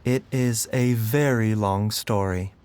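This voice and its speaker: A man playing a calm, courteous, self-sacrificing doctor in video game dialogue, polite and composed. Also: lowish voice, takes it slowly, very noisy. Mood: calm, resolute. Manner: polite, composed, gently reassuring.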